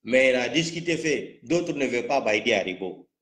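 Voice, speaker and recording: A man's voice: mid-range voice, expressive, fast talker, a bit bright. Male political commentator, Guinean French accent, mid-range voice, combative and spontaneous, repeats himself for emphasis. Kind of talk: live political talk, spontaneous.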